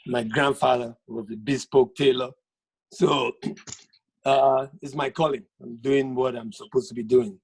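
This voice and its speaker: A man's voice: medium pitch, fairly even intonation, unhurried, darkish tone, breathy edge, no room sound, poor audio. A middle-aged man from Nigeria, a textile artist, introducing himself on a video call with jokes and frequent laughter. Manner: jovial, laughs often, joking.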